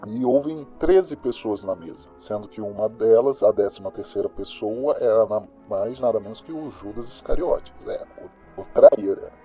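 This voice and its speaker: Guy playing cynical brazilian radio host doing crime gossip, mellow kinda rough voice, slangy, audio drama with music behind. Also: fairly low pitch, normal speed, few pauses, natural voice. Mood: chatty, musing. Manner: colloquial, sardonic, slangy. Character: cynical, gossipy male host of a small-town crime news show.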